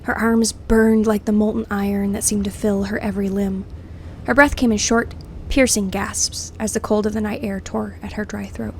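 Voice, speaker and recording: A woman narrating scripted fiction in an expressive, suspenseful, dramatic way. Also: medium pitch, moderate pace, a little hiss or hum. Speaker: story narrator.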